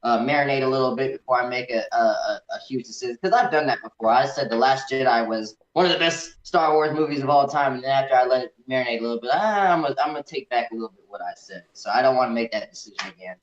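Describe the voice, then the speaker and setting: A man's voice: mid-range voice, quick pace, hardly any pauses. A man chatting informally as a guest film commentator, in a casual, colloquial style. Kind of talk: casual chatty movie discussion. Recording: echoey room, dull lo-fi audio.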